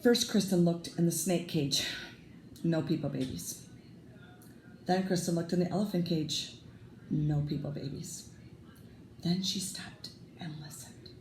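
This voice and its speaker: A woman reading a children's picture book aloud as a storyteller, deliberate and expressive. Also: lowish voice. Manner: deliberate, emphatic, with sound effects. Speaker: read-aloud teacher, storyteller. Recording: roomy echo, faint background noise.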